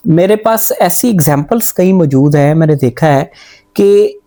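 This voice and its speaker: A man hosting a conversational interview, who talks in an animated way and asks probing questions. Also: fairly high pitch, unhurried. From Pakistan. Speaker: host and English teacher.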